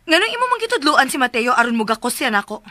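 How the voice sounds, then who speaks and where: Close, dry and high, this is an exasperated young wife in a radio drama. She speaks with a Cebuano accent in a very bright voice over a light music bed. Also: big pitch swings, fast, no room sound, full character voice. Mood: reproachful.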